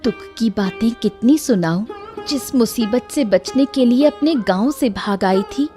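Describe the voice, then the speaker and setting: A woman's voice: on the high side, expressive, measured pace, dark tone, faint music behind. A woman voicing a distressed young village woman pleading for help in a moral story.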